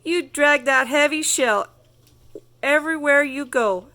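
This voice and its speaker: A woman's voice: sits high, unhurried, pushed and bright, pitched above the performer's own voice. A woman voicing a boastful, carefree male jackrabbit in a children's storybook, bragging in sing-song exclamations. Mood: teasing, boastful.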